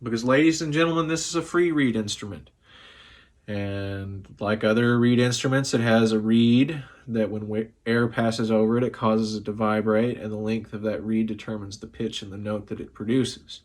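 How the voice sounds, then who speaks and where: A young man who is sick and slightly hoarse, talking loosely and profanely to camera from his living room after a few drinks. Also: fairly low pitch, fairly even intonation, moderate pace, a little mellow. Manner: profane, loose and chatty, a bit tipsy. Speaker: music vlogger. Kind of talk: casual rambling talk to camera.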